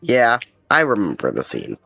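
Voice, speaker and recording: Rpg player, really lively up n down voice, casual, dry mic, bit of music. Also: mid-range voice, moderate pace, gravelly, dry recording. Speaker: tabletop game player. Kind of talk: casual role-play chat.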